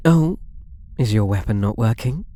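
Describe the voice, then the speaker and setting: A man's voice: fairly low pitch, animated, moderate pace, warm tone, natural voice. Man playing a coy, swooping British catboy thief. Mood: coy, mocking. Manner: coy, playful, mock-innocent purring taunts. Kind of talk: ASMR roleplay acting.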